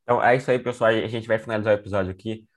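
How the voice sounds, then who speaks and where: Fast-talking low-voiced male host. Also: steady tone. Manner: brisk, informal, sign-off phrases. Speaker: podcast host. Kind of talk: casual interview chat.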